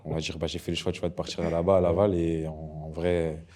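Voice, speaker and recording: A young man from Ivory Coast, a professional footballer, chatting casually as a guest, relaxed and slangy, repeating himself often. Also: low-pitched, brisk. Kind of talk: casual interview chat.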